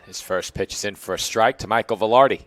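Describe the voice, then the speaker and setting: A young man with a hoarse, raspy voice, calling baseball play-by-play pitch by pitch. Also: a little fast, no echo. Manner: steady, calls each pitch and count. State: hoarse, losing his voice. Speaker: play-by-play announcer.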